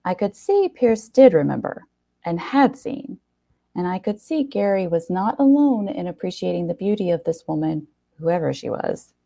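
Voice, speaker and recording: A woman reading a short story aloud in an expressive, wry first-person narration with dramatic pauses. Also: fairly low pitch, gravelly.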